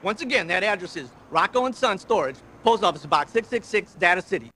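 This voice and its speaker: A woman playing a brash, urgent pitch-woman in a hard-sell parody TV commercial, pushy and exaggerated. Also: expressive, a little fast, natural voice.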